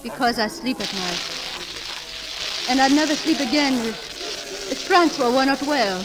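A woman's voice: high-pitched, lively intonation, unhurried. An actress playing a trusting, devoted young woman of the Paris streets, earnest, in 1930s radio drama style. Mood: earnest, tender. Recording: very noisy 1930s radio recording.

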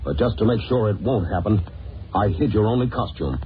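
An actor playing a stern, commanding masked crime fighter and husband in a superhero audio drama, speaking firmly and declaiming. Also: male voice, on the low side, expressive, brisk, close to an everyday voice. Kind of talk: old-time superhero audio drama acting. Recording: tinny band-limited, noisy old audio.

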